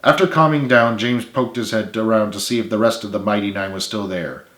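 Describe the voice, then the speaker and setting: A man's voice: fairly low pitch, a little fast, fairly bright tone. Man narrating a story in an echoey room. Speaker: author reading own book. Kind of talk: dramatic audiobook narration.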